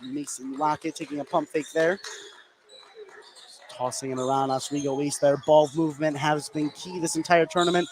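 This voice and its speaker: Male play-by-play announcer, high voice, live basketball radio call over clear venue noise. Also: fairly even intonation, normal speed. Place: indoor high school gymnasium.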